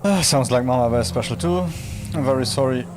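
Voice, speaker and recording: A man's voice: mid-range voice, brisk. A man casually commentating while playing a video game, making joking asides to the characters. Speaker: gameplay commentator. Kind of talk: casual let's play chat. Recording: game music playing behind.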